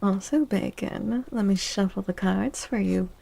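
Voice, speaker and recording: Female ASMR streamer: soft, mellow and unhurried, with playful, mock-dramatic teasing. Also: mid-range voice, gravelly. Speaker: livestreamer doing tarot readings for chat.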